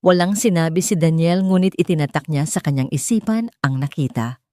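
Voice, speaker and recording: Low-pitched Filipino woman narrating drama. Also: brisk, mellow, no echo, clean studio sound. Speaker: storyteller. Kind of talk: scripted dramatic audio-story narration.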